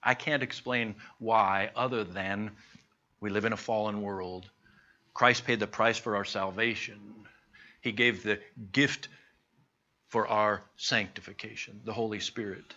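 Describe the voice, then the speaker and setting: A man teaching a Bible class in an earnest, conversational way, slowing down to stress his points. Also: lowish voice, fairly even intonation, bright, forceful tone. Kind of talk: sermon-style Bible teaching. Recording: dull lo-fi recording.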